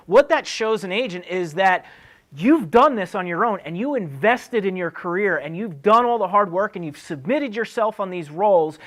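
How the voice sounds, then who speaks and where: A man's voice: high-pitched, a bit bright. A man speaks as the host of an advice show. His delivery is casual and conversational, explaining things step by step as if talking straight to the listener. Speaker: host answering acting career questions. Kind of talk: casual advice talk to camera.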